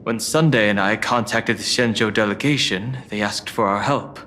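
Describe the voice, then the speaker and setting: A man's voice: fairly low pitch, moderate pace, bright, forceful tone, a little hiss or hum. A man voicing a reserved, plain-spoken and earnest young adventurer in a video game. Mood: earnest, matter-of-fact. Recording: music under the voice.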